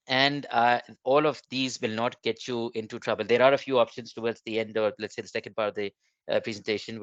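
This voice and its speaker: A middle-aged man whose first language is Urdu gives a webinar presentation, halting with many fillers and restarts. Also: on the low side, a bit bright, dry recording. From Pakistan. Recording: dull lo-fi online call.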